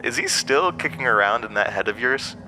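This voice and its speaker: A piercingly sharp, forceful voice rings through a long, cavernous reverb. It is an ancient inhuman outcast, bitter and manipulative, telling its tale with taunting theatrical emphasis, as in a horror audio drama. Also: male voice, medium pitch, animated, brisk, slight background noise.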